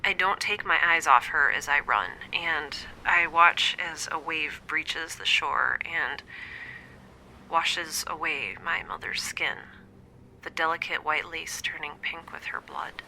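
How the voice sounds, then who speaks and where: An actress playing a lonely, wry young space scientist in an audio drama, confiding hesitantly and often dropping to a near-whisper over a log recording. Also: female voice, low voice, lively intonation, slow, pushed and bright, slight background noise, full character voice, low-quality recording. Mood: haunted, dread. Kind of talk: scripted audio drama monologue, intimate dream-log recording.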